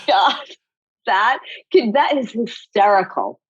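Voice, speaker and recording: A middle-aged woman from Rhode Island, a choreographer telling stories in a casual interview, expressive and quick to laugh. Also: medium pitch, breathy edge, no echo, highs cut off. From United States, Rhode Island. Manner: animated, expressive storyteller who laughs often. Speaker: guest choreographer.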